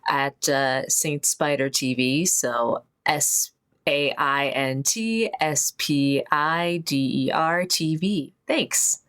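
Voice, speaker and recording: A woman's voice: sits low, slow pace, fairly bright tone, clean tone. A woman chatting casually as a player in a tabletop role-playing game. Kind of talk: casual chat.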